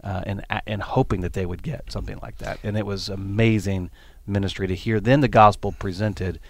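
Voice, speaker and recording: A man's voice: fairly low pitch, a little fast, creaky. A man with a South Texas accent, a pastor talking earnestly on a radio show, with many ums and uhs. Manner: earnest, steady, filler-heavy. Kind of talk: interview explanation.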